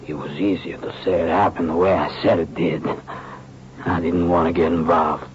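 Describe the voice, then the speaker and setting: An actor playing a nervous, defensive theater worker, halting and plain-spoken, in a radio drama. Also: male voice, low voice, steady tone, natural voice. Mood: defensive confession. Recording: dull, noisy old radio recording.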